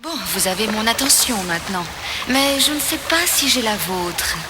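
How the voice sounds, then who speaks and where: An actress playing a confident, dryly teasing adventuress in a video game dub, speaking in a wry, self-assured, clipped way. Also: female voice, on the high side, animated, normal speed, over loud music, lightly acted. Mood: sharp, in control.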